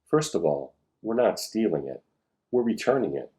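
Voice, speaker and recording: Man reading a logical schoolgirl spy, low tone. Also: big pitch swings, normal speed, warm tone. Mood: matter-of-fact. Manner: matter-of-fact, explanatory. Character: logical, firm, know-it-all schoolgirl spy. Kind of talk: storybook read-aloud dialogue.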